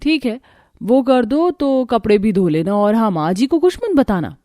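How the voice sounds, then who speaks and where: A woman's voice: fairly high pitch, very animated, normal speed, dark tone, dry recording, studio quality. A woman voicing a resentful, bossy elder sister-in-law in a Hindi cartoon story, sarcastic and fond of giving orders.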